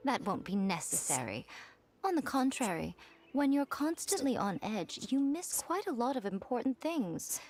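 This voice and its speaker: A woman's voice: a little high, sing-song, unhurried, darkish tone, slight background noise. An actress playing a poised, worldly and playfully teasing woman with genteel phrasing in an anime fantasy game. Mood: teasing, instructive.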